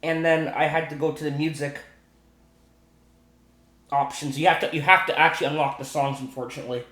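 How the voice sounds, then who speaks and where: A man with a somewhat high-pitched voice hosts a casual, unscripted gaming explainer. He speaks haltingly, restarting and repeating himself as he works through each point. The room around his voice is noticeably echoey. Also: steady tone, fairly bright tone. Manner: halting, repetitive, circles back over points. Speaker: gaming video host.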